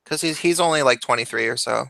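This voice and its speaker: Bright-voiced hesitant male host. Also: medium pitch, quick pace, gravelly, no echo. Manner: hesitant, restarting phrases. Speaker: YouTuber and host. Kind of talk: casual group video call chat.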